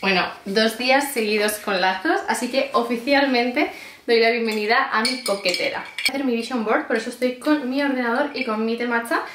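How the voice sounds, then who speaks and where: Woman, twenties, Castilian Spanish accent, slightly high pitch, expressive, casual vlog chat. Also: brisk, slight background noise. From Spain. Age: 20s. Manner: chatty, expressive. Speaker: vlogger. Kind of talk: casual chatty vlog to camera. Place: parked car and a bedroom.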